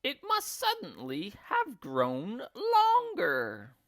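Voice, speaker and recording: A man's voice: high-pitched, wildly swooping pitch, drawn-out words, a bit bright, pitched above the performer's own voice, exaggerated voice. A man voicing a gullible, frightened old wife in a storybook, exclaiming fretfully and drawing out words. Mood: bewildered.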